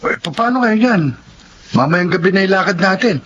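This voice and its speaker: Very high-voiced, timid young Filipino son. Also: male voice, brisk, fairly bright tone, slight background noise. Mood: concerned, hesitant. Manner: hesitant, pleading. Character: timid, soft-hearted young son turned reluctant thief. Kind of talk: Filipino radio drama acting. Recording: muffled lo-fi recording.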